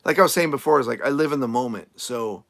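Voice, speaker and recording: Gravelly man rambling casually on a live stream. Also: medium pitch, fast talker, fairly bright tone. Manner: rambling, easygoing, many fillers and restarts. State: voice getting a little hoarse. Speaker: nomad RV YouTuber answering live chat. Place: RV camp in the desert, outdoors.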